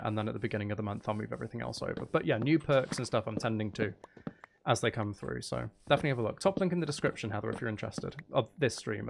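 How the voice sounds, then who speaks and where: A man's voice: fairly low pitch, creaky, slight background noise. A man live streaming in a casual, chatty way, with dry, teasing humour while he plays a game.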